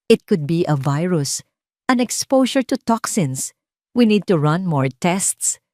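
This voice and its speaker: A woman's voice: on the low side, big pitch swings, slow, mellow, no room sound, studio quality. A woman voicing a proud, desperate male doctor and father in an audio drama, terse and commanding, with a Filipino accent. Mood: urgent, demanding.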